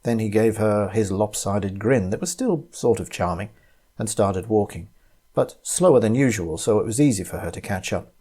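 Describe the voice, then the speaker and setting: A man reading a short story aloud as its narrator, in an expressive, dramatic way. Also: fairly low pitch, normal speed, slightly rough.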